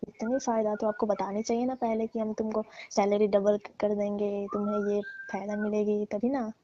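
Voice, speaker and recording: A woman's voice: mid-range voice, level tone, normal speed, mellow, a little hiss or hum. A woman, pleading deferentially in a private phone conversation. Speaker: domestic worker on a call with employer. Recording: muffled, roomy phone call recording.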